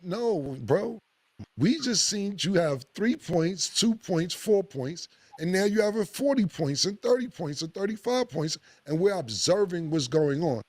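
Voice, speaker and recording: A man, hosting a podcast with blunt, animated opinions and a lot of swearing. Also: measured pace, dry recording. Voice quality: deep voice. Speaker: podcast host. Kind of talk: casual opinionated podcast talk.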